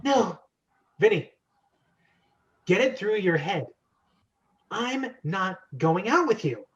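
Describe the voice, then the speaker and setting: Spunky young woman, read by a man, high-pitched. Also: big pitch swings, slow, far from the mic, exaggerated voice. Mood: firm, annoyed. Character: sweet but spunky young Brooklyn woman. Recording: dull, lo-fi sound.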